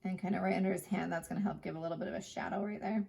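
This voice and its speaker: A woman art teacher giving a chatty step-by-step painting lesson, her voice hoarse. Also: on the low side, fairly even intonation, racing, mellow. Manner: chatty, plain instructional talk.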